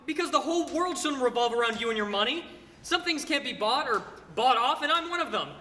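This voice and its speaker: A young man, a high school actor, playing an earnest, restless small-town family man who stammers in a 1940s-style radio play. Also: exaggerated voice. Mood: indignant, defiant. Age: young adult. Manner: stammering, halting, excitable. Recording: hall reverb, noisy live stage recording.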